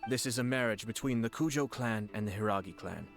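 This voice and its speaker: An actor playing a courtly, shrewd young clan lord in a video game, speaking in a formal, measured and polished way. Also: male voice, lowish voice, gravelly, faint music behind. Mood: composed, dignified.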